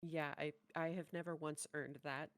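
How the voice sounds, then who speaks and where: A woman's voice: low voice, fairly even intonation, moderate pace. A woman co-hosting a reaction show, chatting casually in a playful, exclamatory way.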